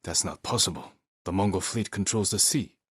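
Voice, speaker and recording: An actor playing a stern, honorable samurai warrior in a video game, with a husky voice and a measured, terse, commanding manner. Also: male voice, low-pitched, fairly bright tone, no echo, clean studio sound. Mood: stern, resolute. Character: stern honorable adult male samurai warrior, dutiful and weary. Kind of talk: video game character voice lines.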